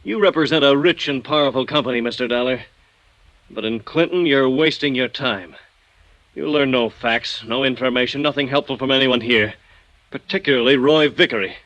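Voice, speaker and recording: An actor playing a bitter, resigned architect just beaten up, speaking with halting, deliberate irony in 1950s radio drama style. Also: male voice, medium pitch, fairly bright tone, no room sound, muffled recording, close to an everyday voice. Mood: ominous resignation.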